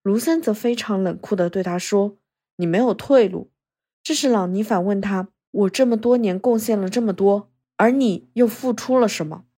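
A woman narrates a scripted podcast as its host. Her mid-range voice is mellow and relaxed, and she tells the story in a measured, explanatory way. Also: mid-range voice.

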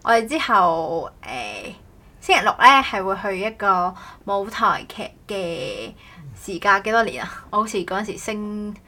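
A woman's voice: mid-range voice, sing-song, a little slow, bright, forceful tone. A woman hosting a casual podcast chat in a playful, teasing way. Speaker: podcast host. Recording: clearly noisy background ambience.

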